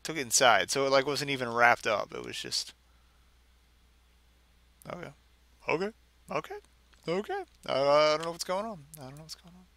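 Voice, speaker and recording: Bright, animated man reading with sarcasm. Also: medium pitch, normal speed, pauses often, dry recording. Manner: sarcastic, exasperated asides. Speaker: video game streamer reading a story aloud. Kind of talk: mocking dramatic reading with casual commentary.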